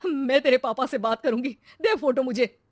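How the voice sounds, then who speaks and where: A woman's voice: high-pitched, normal speed. A woman voicing a naive, anxious middle-aged mother in a moral story, fretful and plaintive. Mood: worried. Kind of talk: acted moral-story dialogue.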